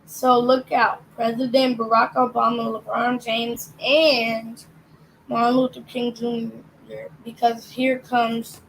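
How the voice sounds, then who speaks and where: A young reader reading a picture book aloud, carefully and with occasional stumbles on words. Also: female voice, on the high side, level tone, takes it slowly, vocal fry, fairly bright tone, slight background noise, poor audio. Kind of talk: slow read-aloud.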